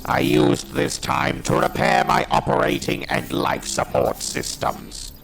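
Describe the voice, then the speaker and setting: A man playing a hateful, captive alien war machine in an audio drama, with a harsh mechanical voice and slow, ominous, deliberate delivery. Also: fairly high pitch, steady tone, a bit bright, faint music behind, exaggerated voice, poor audio. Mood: brooding, resentful. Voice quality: gravelly, harsh, mechanical monster voice.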